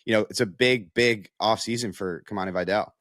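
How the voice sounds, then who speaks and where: A man's voice: lowish voice, brisk, a bit bright, no room sound, clean studio sound. A man analysing football in a casual podcast discussion, with an emphatic manner that leans on repeated phrases.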